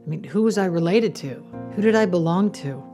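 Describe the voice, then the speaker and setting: A middle-aged woman speaking candidly in an interview, pausing and restarting as she searches for words. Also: fairly low pitch, animated, mellow, faint music behind. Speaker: interview guest. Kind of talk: personal interview talk.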